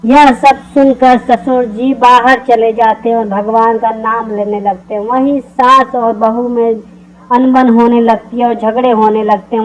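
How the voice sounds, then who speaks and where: Woman, high-pitched voice, scripted story narration, narrator. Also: rough recording.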